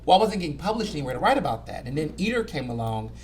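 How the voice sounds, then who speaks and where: A man in his thirties from Houston with a light Southern accent, chatting as a guest about his work as a food editor, animated and full of "you know". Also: on the high side, very fast, a little hiss or hum. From Houston, Texas. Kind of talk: casual conversation.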